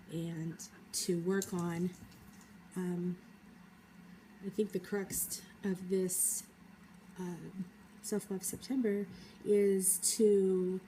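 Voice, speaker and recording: Woman with a cold, low mellow voice, reflective vlog monologue, halting and thoughtful. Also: slight background noise, poor audio. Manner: thoughtful, hesitant, many pauses and fillers. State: has a cold. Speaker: vlogger.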